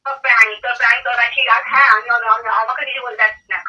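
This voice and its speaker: A woman's voice: on the high side, steady tone, very fast, pushed and bright. A young woman chatting casually over the phone, questioning and insistent, often repeating herself. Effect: telephone. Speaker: prank call recipient. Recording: dull distant phone line.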